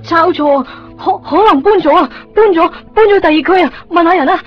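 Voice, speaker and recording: A woman's voice: very high voice, fast talker. An actress playing a young woman who secretly follows the man she loves, narrating her thoughts in an intimate, wistful way. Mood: urgent. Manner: intimate, wistful inner monologue. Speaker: lead character and narrator. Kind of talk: 1990s radio drama acting. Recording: dull lo-fi radio play with music.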